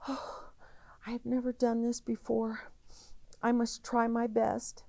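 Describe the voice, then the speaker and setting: A woman's voice: fairly high pitch, monotone, slow pace, gravelly, mellow, no echo, voice pushed up. A woman voicing an earnest, dutiful young male rooster in a children's storybook fable. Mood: anxious, determined.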